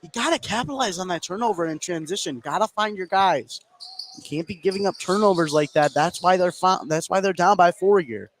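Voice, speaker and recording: A male color commentator with a fairly high voice adds analysis to a live basketball broadcast. He sounds conversational and gives his opinions freely. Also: a little fast, a little hiss or hum.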